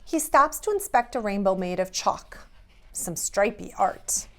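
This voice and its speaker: A woman's voice: mid-range voice, animated, measured pace, a bit bright. A woman preschool teacher speaking to young children in simple, guiding phrases, as in a read-aloud or lesson. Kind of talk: expressive picture-book read-aloud and children's drawing lesson.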